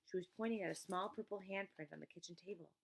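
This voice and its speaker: Female children's audiobook narrator, slightly low voice with noticeable creak. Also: fast, low-quality recording. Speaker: audiobook reader. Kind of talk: scripted narration of a children's story.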